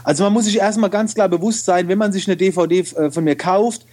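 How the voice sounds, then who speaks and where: A man's voice: high-pitched, fairly quick, a little mellow. A man with a regional German dialect, talking casually as an interview guest with many fillers and restarts. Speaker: guest, photo retoucher and video trainer.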